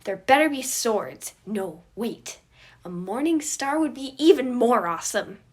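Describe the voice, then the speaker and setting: A woman voicing a hyper, pushy, chaotic small boy in a fan fiction story, loud and excitable. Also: a little high, measured pace, voice pushed up. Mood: thrilled. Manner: loud, eager, interrupting, excitable.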